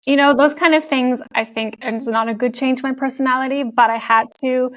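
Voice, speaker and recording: Chatty Canadian woman, fairly high voice. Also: fairly even intonation, brisk, fairly bright tone. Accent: Canadian English with an international European tinge. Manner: chatty, laughs often, many likes and you knows. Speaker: guest, content creator. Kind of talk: casual interview chat.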